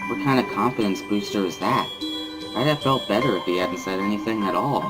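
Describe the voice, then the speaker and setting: A man's voice: lowish voice, brisk, loud music behind the voice, rough recording. A man giving a somber, weary first-person dramatic reading of a visual novel as narrator.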